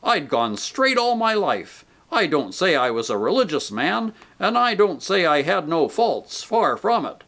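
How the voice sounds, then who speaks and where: A man's voice: a little high, sing-song, a little slow, vocal fry, hardly any pauses, fairly bright tone, voice pushed up, full character voice. A man voicing a coarse, self-righteous male ghost who talks in blunt, indignant working-man speech.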